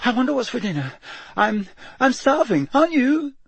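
A man voicing a frightened, shy teenage schoolboy who gabbles in an unnaturally high voice. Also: big pitch swings, normal speed, gravelly, husky, no room sound, exaggerated voice. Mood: shaken babbling.

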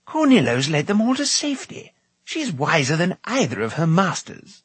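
A man playing a raspy, animated bard. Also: fairly high pitch, normal speed, no room sound, voice pushed up, heavily acted. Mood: amazed. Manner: animated, exclamatory. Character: spirited, fretful bard companion.

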